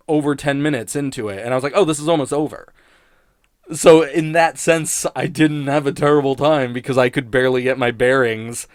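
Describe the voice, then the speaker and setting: A man's voice: fairly high pitch, dry recording. Sardonic, rambling man in a dry recording. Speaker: podcast host and TV critic. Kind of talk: casual unscripted rant reviewing a TV show.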